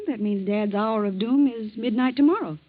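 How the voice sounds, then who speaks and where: A woman's voice: fairly high pitch, normal speed, natural voice. An actress playing a playful, skeptical young engaged woman in a 1940s radio drama, with a breezy, teasing manner. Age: young adult. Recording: muffled 1940s radio broadcast.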